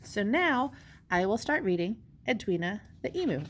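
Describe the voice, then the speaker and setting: A woman's voice: mid-range voice, very animated, moderate pace. A woman teacher giving step-by-step instructions and reading a storybook aloud expressively to young pupils. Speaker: elementary school teacher.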